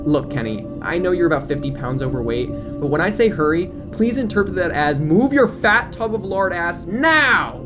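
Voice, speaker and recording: A pushy woman TV reporter in an audio drama, acted by a man. The voice is raised high, brash and demanding, with a falsely polite edge. Music runs behind it. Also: sing-song, normal speed, few pauses. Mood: furious, impatient. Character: pushy, sharp-tongued woman TV news reporter.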